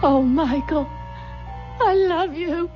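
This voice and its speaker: An actress playing a haunted, desperate young pregnant widow in a radio mystery drama, intense and pleading. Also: female voice, extremely high pitch, slow pace. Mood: yearning, pleading. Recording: dull old radio recording, music behind.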